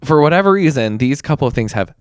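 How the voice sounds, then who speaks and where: A man's voice: on the high side, animated, quick pace, clean tone, no echo, clean studio sound. A man co-hosting a podcast in casual comedic chat, with a playful, sassy, teasing way of talking. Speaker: podcast co-host.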